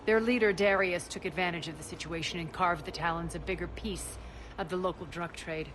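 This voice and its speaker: Low-voiced, haughty alien crime queen played by an actress. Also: fairly even intonation, noisy background, lightly acted. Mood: imperious. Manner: haughty, deliberate, sardonic. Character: ruthless, imperious alien female crime queen, cold and commanding. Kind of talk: video game voice acting.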